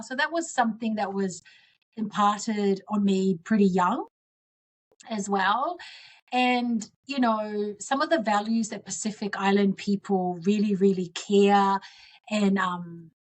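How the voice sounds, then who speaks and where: A woman in her forties who grew up in Samoa speaks as a political guest in a mid-range voice. She talks earnestly and reflectively, dwelling warmly on community values. Her pace is unhurried and conversational. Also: mid-range voice. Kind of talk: conversational interview answers.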